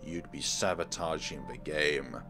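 A man voicing a menacing, controlling sentient game program with slow, stern, drawn-out delivery. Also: a bass voice, fairly even intonation, pushed and bright, faint music behind, voice pushed down, heavily acted.

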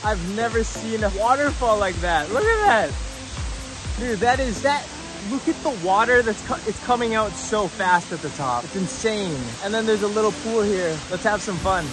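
A man's voice: high-pitched, animated, moderate pace, music in the background, rough recording. A young man in his twenties, a Tagalog native speaker, vlogging to a phone held at arm's length outdoors in a tropical forest, upbeat and laughing often. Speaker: travel vlogger. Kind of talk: casual travel vlog to camera.

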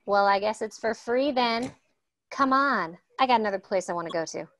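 A woman's voice: on the high side, expressive, normal speed, fairly bright tone. Woman reading a brash violent tough girl. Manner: aggressive, brash, threatening. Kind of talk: comedy table read.

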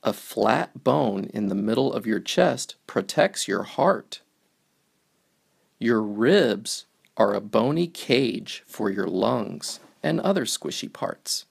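A man narrating a picture book for young children, reading it aloud in simple, deliberate phrases. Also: lowish voice, expressive, gravelly, husky.

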